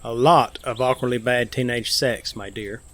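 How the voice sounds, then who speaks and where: A man's voice: mid-range voice, fairly even intonation, normal speed, a bit bright, close to an everyday voice. Man playing a breezy, theatrical TV psychic. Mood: knowing, condescending. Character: campy, self-assured male television psychic medium. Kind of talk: fiction podcast interview acting.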